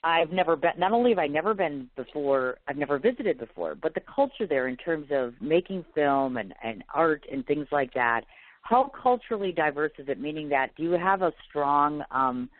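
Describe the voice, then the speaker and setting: A woman's voice: sits low, animated, normal speed, no room sound. A woman hosting a radio interview, talking enthusiastically and addressing listeners as folks. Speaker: radio host. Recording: phone-like line.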